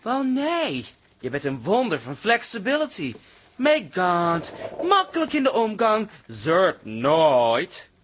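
An actor playing a camp, teasing lodger with an arch, sarcastic manner in a Dutch radio comedy drama. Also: male voice, high-pitched, huge pitch swings, takes it slowly, fairly bright tone, slight background noise, exaggerated voice.

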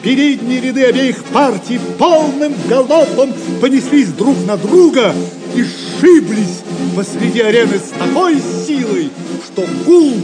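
A male narrator with a fairly high voice tells a scripted story in a theatrical, declamatory way. Music plays clearly behind him. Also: animated, unhurried, poor audio.

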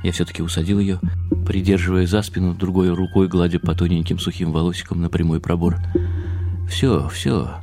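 Deep, dark-voiced male literary narrator. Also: little pitch movement, brisk, soft background music. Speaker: narrator and lead actor. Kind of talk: dramatised first-person literary narration.

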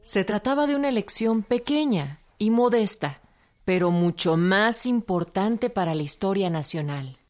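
A woman's voice: medium pitch, animated, normal speed, a little mellow. A woman giving scripted documentary narration for a radio drama.

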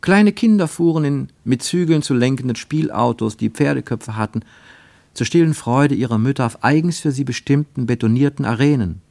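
A man narrating a literary radio play with expressive, deliberate phrasing. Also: mid-range voice, creaky, dry recording.

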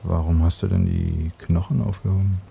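Tired dry husband in a radio play, really dark soft low voice, few words, understated. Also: male voice, flat delivery, normal speed, creaky, lo-fi sound, slight background noise. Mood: casual, dry. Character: dry, laconic, weary young husband. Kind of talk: German radio play dialogue.

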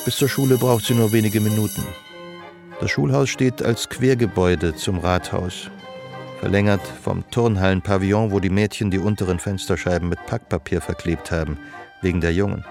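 A man acting as a detached, observant storyteller, narrating literary radio drama in a measured way. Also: low-pitched, steady tone, music in the background. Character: detached, observant male storyteller of a woman's life.

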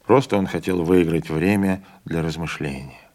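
A man voicing a calm, resigned older train conductor who speaks low and quietly. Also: normal speed, close to an everyday voice.

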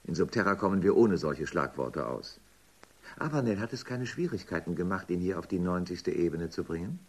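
Radio drama: suave, ironic official, very low husky voice, lively intonation. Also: male voice, a little fast. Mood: bemused politeness. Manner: polite, musing, ironic. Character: urbane, ironic underworld official musing on freedom. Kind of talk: German science fiction radio drama acting.

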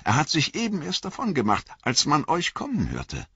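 A man voicing an eager, anxious young hobbit who talks quickly. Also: a little high, wildly swooping pitch, fairly bright tone, husky, dry recording, muffled recording, voice pushed up, exaggerated voice.

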